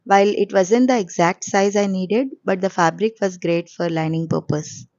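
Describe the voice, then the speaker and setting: A woman hosting a sewing channel, explaining each step calmly in a voice-over, her voice coarse and hoarse from a cold. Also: mid-range voice, moderate pace, no room sound, lo-fi sound.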